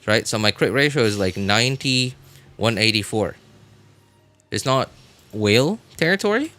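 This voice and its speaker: A man's voice: on the low side, animated, a little slow, sharp tone, soft background music. A man livestreaming a game, chatting casually with viewers and thinking aloud in a rambling way. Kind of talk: casual gaming stream chat with viewers.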